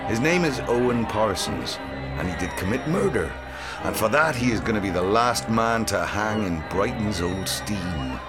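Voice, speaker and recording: A man playing a gruff, hard working-class hangman and father in an audio drama, snapping out blunt, coarse lines. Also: fairly low pitch, very animated, normal speed. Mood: vengeful. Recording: loud music under the voice.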